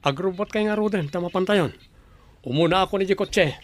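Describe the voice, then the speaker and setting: An actor playing an earnest, insistent young adult son in a radio drama, speaking with an Ilocano accent. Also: male voice, sits high, fairly quick, a little hiss or hum, close to an everyday voice.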